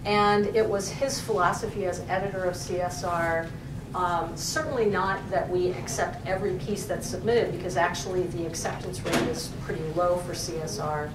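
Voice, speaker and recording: A woman's voice: on the low side, steady tone, normal speed. A woman professor answering audience questions at a library talk, thoughtful and full of fillers. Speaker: professor and co-editor. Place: library event room. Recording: echoey room, distant mic, noisy.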